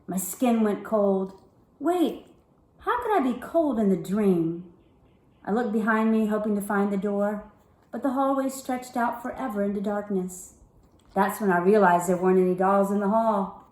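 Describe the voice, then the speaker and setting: A woman reading a children's book chapter aloud, with expressive, deliberate and dramatic storybook phrasing. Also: mid-range voice, echoey room, poor audio.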